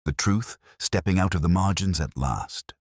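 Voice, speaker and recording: A man narrating a scripted first-person detective story in a measured, literary, brooding manner. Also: sits low, no echo, clean studio sound.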